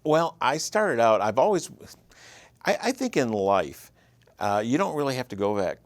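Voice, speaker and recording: A man's voice: medium pitch, sing-song, normal speed, no room sound. A man in his fifties with a Michigan accent, telling his story easily as an interview guest. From Michigan, USA. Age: 50s. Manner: easygoing, storytelling. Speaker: guest, writer and producer. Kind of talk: casual interview chat.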